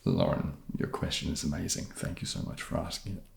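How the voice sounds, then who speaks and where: A soft-spoken man casually answering viewer questions in a gentle, wry way. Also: extremely low pitch, very animated, fairly quick, creaky, slight background noise. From New Zealand.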